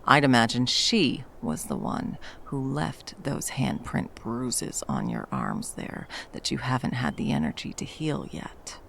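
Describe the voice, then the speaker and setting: A battle-hardened female monster hunter, voiced by a woman for an intimate ASMR roleplay, fiercely protective of a lover. The voice is slow and gravelly with wide, expressive swings, mixing dry menace with tenderness. Faint background noise sits underneath. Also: rumbling low, full character voice. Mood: quiet menace.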